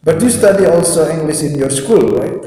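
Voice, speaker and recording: A man's voice: on the high side, animated, brisk, mellow. A man from Indonesia, a teacher hosting a casual chat, who often says short agreements and repeats himself. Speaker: teacher and host. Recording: echoey room, faint noise.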